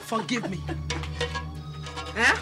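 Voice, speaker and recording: An actress playing a quarrelsome village woman who accuses her neighbor and talks in a shouting, confrontational way. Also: fairly high pitch, takes it slowly, over music. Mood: accusing.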